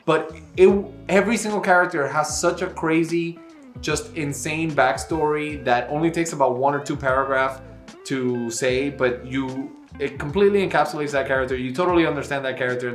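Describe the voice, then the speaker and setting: Male book vlog co-host, mid-pitched, emphatic and wry, casual chat in a roomy space with soft guitar music. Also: fairly quick. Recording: roomy echo, faint music behind.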